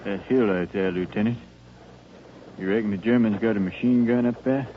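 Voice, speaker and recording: Humble army private male actor, 1950s radio play: plain rural phrasing, hesitant, low register, faint hum behind. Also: normal speed, lightly acted. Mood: quietly resolute. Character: plainspoken, doubting, humble young army soldier. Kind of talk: 1950s radio drama acting. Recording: narrow, hissy old radio recording with hum.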